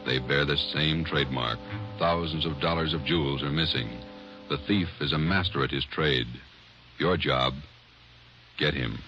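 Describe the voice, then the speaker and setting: A man as a radio announcer, reading a grave, measured, emphatic opening over music. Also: a bass voice, sharp tone. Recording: dull old radio recording with music behind.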